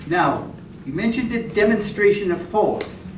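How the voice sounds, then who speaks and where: A man's voice: sits high, animated, unhurried. A man playing an earnest, inquisitive scientist in a radio drama, with a careful, questioning and slightly hesitant delivery. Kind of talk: live radio drama acting. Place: library community room, live performance. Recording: echoey room from far off, noisy.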